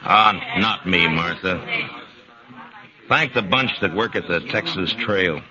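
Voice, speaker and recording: A steady frontier marshal speaks in a low, unhurried voice, plainspoken and firm. This is a vintage radio western, heard through a dull, muffled old recording with a murmuring crowd behind. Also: male voice. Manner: calm, measured, plainspoken. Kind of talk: 1950s radio drama acting.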